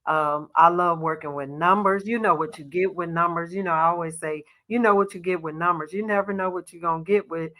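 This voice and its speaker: A middle-aged woman from Arkansas, a basketball coach, telling stories in a relaxed podcast interview with a soft voice and lots of "you know". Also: on the low side, fairly quick, hardly any pauses. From Little Rock, Arkansas. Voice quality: soft-spoken. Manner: storytelling, warm laughs, frequent "you know". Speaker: associate head basketball coach, guest.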